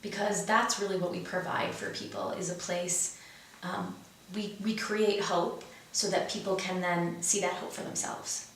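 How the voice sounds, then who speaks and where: A woman's voice: on the low side, fairly even intonation, measured pace, a bit bright, a little hiss or hum. A woman guest explaining her wellness program in earnest, casual conversation. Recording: echoey room, distant mic.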